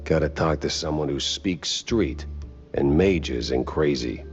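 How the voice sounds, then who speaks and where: An actor playing a world-weary cartoon mouse private eye in a video game, with a low, gruff voice and dry, wisecracking noir delivery. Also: male voice, measured pace, muffled recording, faint music behind. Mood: brooding.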